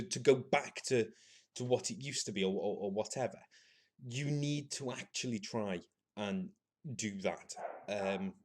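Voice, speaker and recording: A man, possibly, hosting a solo podcast and thinking out loud in a halting, earnest way, with frequent restarts and filler words. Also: mid-range voice. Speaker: podcast host. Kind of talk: solo opinion monologue.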